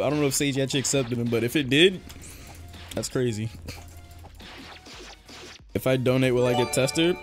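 A young man chatting casually with viewers while playing a game, joking and laughing, his voice a bit worn from talking for hours. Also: medium pitch. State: voice getting worn from long talking. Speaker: game streamer. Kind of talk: casual live-stream chat while gaming.

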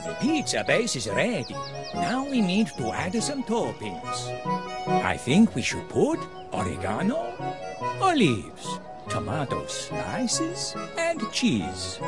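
A man's voice: fairly high pitch, huge pitch swings, takes it slowly, heavily acted. A man voicing a cheerful goat who runs a cafe, in a children's cartoon game, speaking expressively. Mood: cheerful, helpful. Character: cheerful adult male cartoon goat, Italian cafe owner. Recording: music playing behind the voice.

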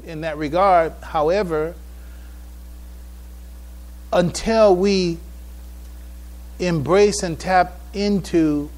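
A man giving a religious public address, halting and repeating phrases for emphasis. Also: on the high side, darkish tone. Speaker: speaker addressing a mosque congregation.

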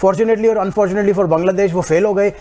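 A man's voice: sits high, steady tone, fairly quick, dark tone. A man giving cricket analysis in a TV studio, switching often into English and speaking emphatically. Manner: mixes English into Hindi-Urdu, emphatic.

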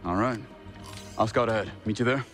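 Man acting a confident male mercenary, low voice, understated, wry, game cutscene, music behind. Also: fairly quick, close to an everyday voice. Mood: calm, calculating.

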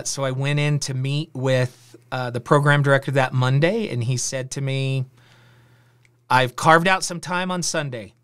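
A middle-aged man with a rather high voice hosting a podcast, telling his story in a casual, animated way with filler words. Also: smooth. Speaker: podcast host. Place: home studio with virtual backdrop.

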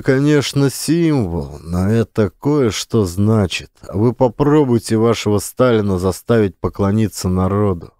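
A man reading a first-person audiobook in a measured, deliberate way. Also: on the low side, no echo, clean studio sound. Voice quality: measured, deliberate, lively intonation. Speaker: audiobook narrator.

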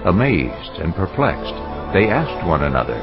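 A man's voice: low-pitched, sing-song, unhurried. A man reading scripted narration for a dramatized scripture audiobook. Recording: dull sound with music underneath.

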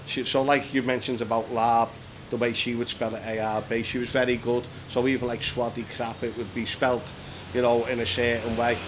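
A man's voice: medium pitch, little pitch movement, normal speed. Chatty British man, noisy room. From England. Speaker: interview guest, British pub owner. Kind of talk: casual conversational storytelling. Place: small decorated indoor room. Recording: noisy room with background hum.

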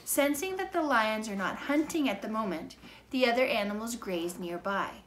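A woman voicing a cautious, anxious young boy adventurer in a storybook, urgent and often hushed. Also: on the high side, lively intonation, normal speed, slight background noise, pitched above the performer's own voice. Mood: hushed reading aloud.

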